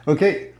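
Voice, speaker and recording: Laid-back guy making little pizzas in his kitchen, talks slow, jokes around a bit. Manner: relaxed and chatty, slips into a joking mock-Italian accent. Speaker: home cooking video host. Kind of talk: casual cooking demonstration.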